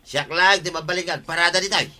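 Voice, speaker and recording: A blustering, sharp-voiced Ilocano driver. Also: male voice, on the high side, fast talker. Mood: territorial. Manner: insistent, complaining. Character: bossy, territorial male tricycle driver, quarrelsome and blustering. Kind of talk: Filipino radio comedy drama acting.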